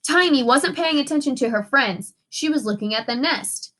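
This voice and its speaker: A woman teacher reading a storybook aloud to children, expressive and instructive, pausing to ask questions. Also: sits high.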